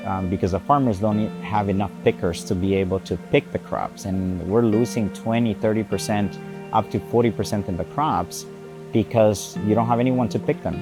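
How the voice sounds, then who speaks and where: Man over guitar music. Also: lowish voice. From Honduras. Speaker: engineer. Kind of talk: documentary interview.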